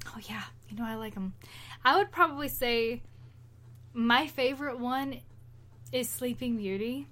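A woman's voice: on the high side, a little slow, pushed and bright. A woman co-hosting a podcast, chatting casually and retelling stories in an informal, rambling, conversational way. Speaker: podcast co-host.

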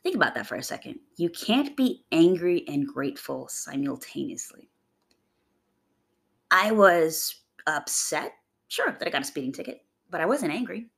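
A young adult woman hosting a podcast, raspy from a chest cold, talking in a chatty, upbeat, rambling way. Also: big pitch swings, moderate pace, a bit bright. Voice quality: gravelly, low voice. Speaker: podcast host. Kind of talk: casual motivational monologue.

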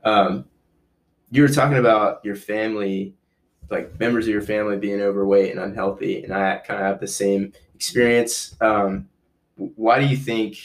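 A man's voice: fairly low pitch, steady tone, moderate pace. A man hosting a casual interview, earnest and repeating his points with frequent ums. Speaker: podcast host.

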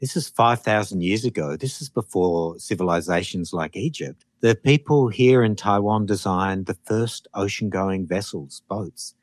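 Low-voiced man, earnest interview guest. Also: moderate pace, hardly any pauses, no room sound. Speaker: music producer, interview guest. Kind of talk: conversational interview.

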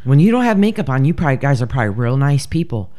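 Woman, deep voice, mellow tone, blunt, profane, joking co-host. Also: big pitch swings, rapid-fire. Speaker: podcast co-host. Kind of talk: casual true-crime chat.

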